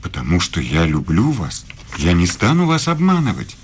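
An actor playing a self-assured, evasive professor and secret lover in a radio play, speaking formally and pedantically. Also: male voice, low voice, huge pitch swings, moderate pace, a little hiss or hum, heavily acted. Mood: pleading.

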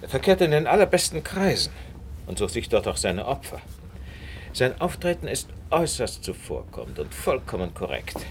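Incisive gentleman sleuth, radio crime drama, highly varied intonation, measured, courteously condescending, faint noise behind. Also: huge pitch swings. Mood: grave, dramatic.

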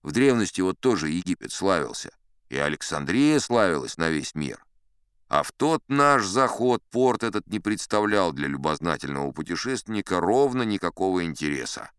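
An actor playing a seasoned, self-assured sea captain who tells tall tales in a wry, conversational way, as theatrical audio drama narration. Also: male voice, low voice, big pitch swings, moderate pace, a bit bright, smooth, no room sound, studio quality. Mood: wry, reflective. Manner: conversational, wry raconteur with rhetorical asides. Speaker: audiobook performer in character as storyteller.

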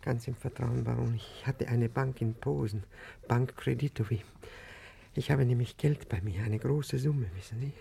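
An actor playing an anxious, ailing old banker in hiding in a 1950s radio play, husky and halting, often repeating his words. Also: mid-range voice, fairly even intonation, mellow, slight background noise. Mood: nervous, confiding. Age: older. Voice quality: husky, gravelly.

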